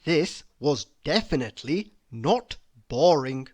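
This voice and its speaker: This is a storyteller reading a children's book aloud. The pace is slow and deliberate, and the pitch swings widely from phrase to phrase. Key words are stretched out for emphasis. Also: lively intonation, darkish tone. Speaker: storybook reader.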